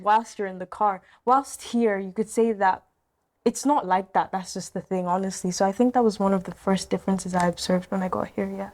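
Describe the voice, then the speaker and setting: A woman's voice: mid-range voice, steady tone, brisk, darkish tone. A teenage girl from Nigeria reflecting on her experiences in a casual podcast chat. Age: teens. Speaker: podcast guest.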